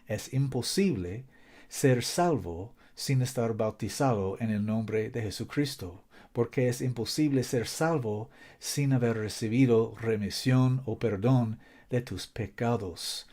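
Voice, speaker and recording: Male preacher, non-native Spanish, mellow voice, earnest, repeats points, reads scripture. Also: mid-range voice. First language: not Spanish. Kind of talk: Bible teaching in Spanish as a second language.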